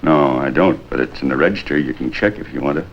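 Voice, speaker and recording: Money-minded American clerk informant, 1950s police drama. Bottom-register voice, roundabout and ingratiating, faint film noise. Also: male voice, rumbling low, fast talker. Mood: informative. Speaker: hotel desk clerk character. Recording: dull, noisy 1950s film soundtrack.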